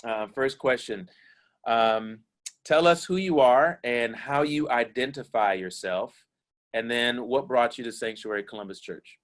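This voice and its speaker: A man who pastors a church, moderating a discussion over a video call, earnest and halting with many fillers. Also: medium pitch.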